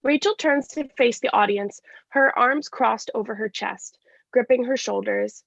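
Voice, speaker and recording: A woman's voice: fairly high pitch, measured pace, gravelly, fairly bright tone, no echo. A woman reading scripted stage directions in a live staged reading of a play. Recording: dull lo-fi sound.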